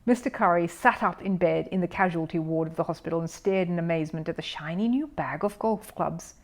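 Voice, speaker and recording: A woman's voice: lowish voice, a little fast. A woman narrating a children's storybook as a scripted reading, in the role of storyteller. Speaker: storyteller, audiobook reader.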